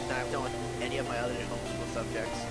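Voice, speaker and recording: A man's voice: mid-range voice, little pitch movement, fast talker. A young man with a lisp, chatting casually and rambling in slangy, self-mocking style as he streams a game. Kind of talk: casual livestream chat while gaming. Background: video game music.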